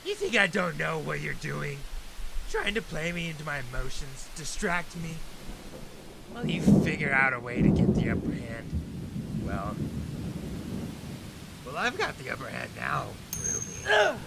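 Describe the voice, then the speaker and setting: A man's voice: on the high side, huge pitch swings, unhurried, creaky, pauses often, very noisy, full character voice. A young man playing a bitter, unstable best friend turned villain in a superhero audio drama, theatrical and sardonic. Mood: bitter, mocking, then gloating menace. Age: young adult.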